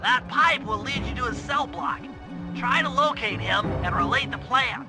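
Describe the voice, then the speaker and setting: An actor playing a nerdy, careful young turtle gadget genius in a video game, nasal and precise. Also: male voice, extremely high pitch, moderate pace. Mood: earnest briefing. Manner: precise, earnest, bookish briefing. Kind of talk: animated video game cutscene acting. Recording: loud music under the voice. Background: game music.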